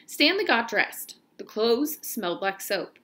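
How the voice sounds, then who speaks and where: A woman reading a children's novel aloud, somewhat haltingly, often stopping to correct herself. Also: on the high side, big pitch swings, fairly bright tone. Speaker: read-aloud narrator.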